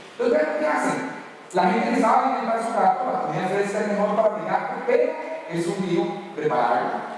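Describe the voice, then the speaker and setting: A man's voice: high-pitched, a little hiss or hum. Costa Rican man lecturing in echoey hall. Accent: Costa Rican Spanish. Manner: lively, animated, acts out customer quotes. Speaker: conference speaker. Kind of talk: keynote talk on stage. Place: large dark auditorium with audience. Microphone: distant room recording from the hall. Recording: echoey hall recorded from far off.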